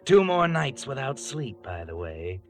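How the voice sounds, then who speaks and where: An actor playing a sly, taunting narrator who speaks like a guilty conscience in a 1940s radio thriller. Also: male voice, medium pitch, big pitch swings, a little slow. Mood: taunting, ominous. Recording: vintage radio broadcast. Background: dramatic music.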